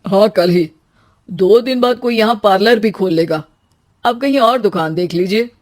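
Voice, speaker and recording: A woman's voice: mid-range voice, moderate pace, a little mellow, lightly acted. A woman voicing a brusque, unbending male shop landlord in a cartoon story. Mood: dismissive.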